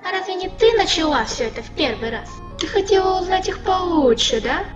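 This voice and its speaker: A woman's voice: very high-pitched, animated, a little fast. A woman voicing a cold, taunting, calculating child in a comic dub, with a pointed, needling delivery. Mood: taunting, accusing. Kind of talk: dramatic fan comic dub. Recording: echoing room, music behind voice.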